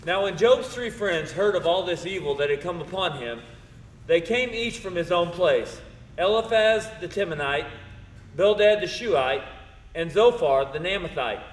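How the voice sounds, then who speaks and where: A man's voice: sits high, expressive, normal speed. A man narrating a scripted Bible drama in an echoey space. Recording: echoey hall with long reverb.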